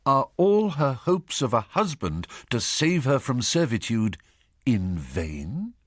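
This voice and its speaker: An actor playing a wry, knowing narrator of a radio period drama, husky, deliberate and suspenseful in delivery. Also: male voice, medium pitch, very animated, dry recording, clean studio sound.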